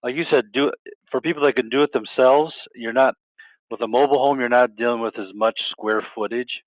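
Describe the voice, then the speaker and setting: A man's voice: mid-range voice, steady tone, brisk, dry recording. A man interviewing a guest, stumbling and repeating himself often as he talks. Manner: stumbling, many restarts and repeats. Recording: tinny dry phone-like line.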